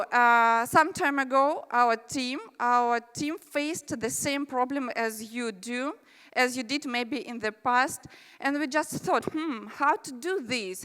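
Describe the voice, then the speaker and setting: Russian-accented woman presenter at a conference, bright, smooth and clear, echo of a large hall. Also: high-pitched. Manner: friendly, chatty, with asides to the audience. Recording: reverberant hall.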